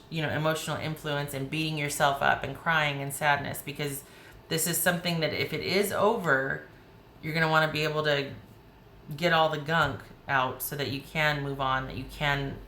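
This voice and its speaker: A woman with a hoarse voice giving a chatty, rambling tarot reading, often restarting her sentences. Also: deep voice, sharp tone, reverberant, a little hiss or hum. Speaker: tarot reader.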